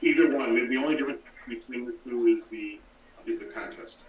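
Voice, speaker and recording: Male, mature, casual explainer, mid-range pitch, strong room echo, set back from the mic. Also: fairly quick. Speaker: guest explaining a donor program. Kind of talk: casual explanatory chat on a live stream. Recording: roomy, distant mic.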